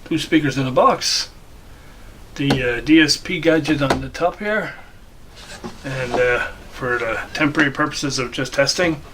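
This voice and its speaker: A man's voice: mid-range voice, measured pace, background noise. A man giving a casual, unscripted walkthrough of a hobby project, hesitating as he thinks aloud. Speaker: hobbyist video host.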